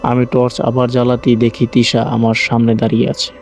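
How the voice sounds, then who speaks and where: Male horror-story narrator, mellow low-brightness tone, scripted first-person delivery, light music bed. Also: monotone, brisk, vocal fry. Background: faint music.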